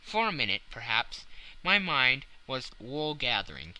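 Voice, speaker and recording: A man's voice: medium pitch, big pitch swings, a little slow, sharp tone, poor audio. A man narrating classic fiction as a dramatic scripted audiobook.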